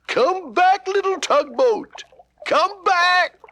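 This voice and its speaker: A man's voice: very high-pitched, expressive, slow pace, bright, forceful tone, no room sound, pitched above the performer's own voice, full character voice. A man voicing a kindly toy shop owner in a children's storybook, with music behind him. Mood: alarmed, calling.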